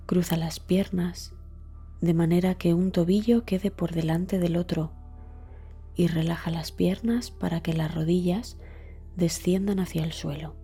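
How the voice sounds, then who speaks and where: A woman reads a scripted guided meditation as the guide, calmly and deliberately, with a soft, breathy voice and trailing words. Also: fairly low pitch, soft background music.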